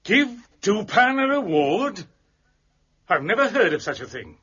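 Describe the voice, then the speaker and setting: Police prefect for radio drama: husky, expressive swoops, haughty, condescending and self-serving. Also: male voice, high voice, unhurried, full character voice. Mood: scornful. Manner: pompous, condescending. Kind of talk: audio drama acting. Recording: dull, muffled audio.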